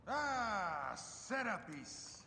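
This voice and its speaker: Theatrical, creaky, high-voiced scholar-poet. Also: male voice, big pitch swings, drawn-out words, sharp tone, a little hiss or hum, full character voice. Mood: self-important. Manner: theatrical, florid. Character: grandiose male scholar-poet at an ancient library. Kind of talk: video game character acting. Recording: reverberant hall, faint music.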